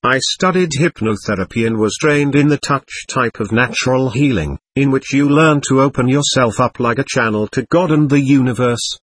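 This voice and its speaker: A man voicing a gentle 65-year-old woman healer and grandmother, telling her story in a calm, earnest way. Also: mid-range voice, normal speed, hardly any pauses, breathy edge, no echo, natural voice.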